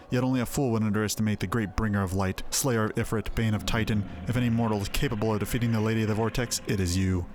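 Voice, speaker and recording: Man acting a brisk, haughty fantasy schemer. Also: lowish voice, background noise, lightly acted. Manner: formal, theatrical, archaic diction. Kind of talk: scripted video game dialogue.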